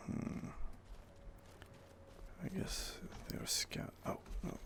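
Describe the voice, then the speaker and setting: A man whispering soft, hesitant commentary while playing a video game, close to the microphone. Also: very slow, heavy background noise. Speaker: gaming ASMR creator. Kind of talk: whispered ASMR gameplay commentary.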